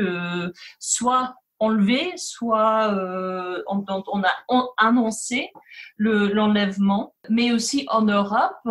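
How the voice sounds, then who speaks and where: An American woman, a native English speaker, gives an unscripted expert explanation. Her voice is mid-pitched, and she proceeds haltingly, restarting phrases as she searches for words. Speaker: academic historian, guest. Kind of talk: unscripted explanatory interview answer.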